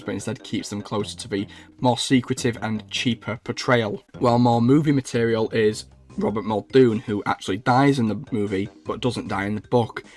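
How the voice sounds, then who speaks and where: Male video essay narrator over background music. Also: on the low side. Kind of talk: informal scripted narration.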